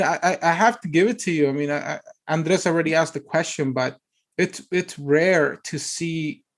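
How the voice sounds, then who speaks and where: A man hosting a podcast, asking questions in a rambling way with many restarts. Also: on the high side. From United States. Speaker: podcast host, former player agent. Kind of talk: casual interview conversation.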